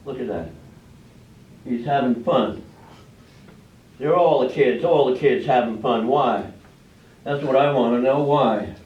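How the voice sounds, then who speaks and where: A man's voice: mid-range voice, unhurried, long pauses, close to an everyday voice. A man playing a troubled, plain-spoken village farmer and father in a staged reading, with folksy rural diction and an uneasy, questioning way of talking. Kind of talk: amateur readers' theater stage acting. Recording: dull, echoey room, distant mic.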